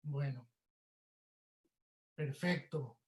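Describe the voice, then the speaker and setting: A man's voice: medium pitch, extremely slow, reverberant, noisy background. A man giving an informal explanatory webinar talk as an audio producer, checking in with his listeners conversationally. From Mexico.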